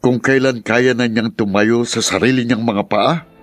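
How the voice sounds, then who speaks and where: A man's voice: mid-range voice, fairly even intonation, unhurried, music in the background, close to an everyday voice. A man acting in a Tagalog radio drama as a sceptical uncle, questioning and reproachful. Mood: exasperated. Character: sceptical, exasperated uncle challenging his older brother.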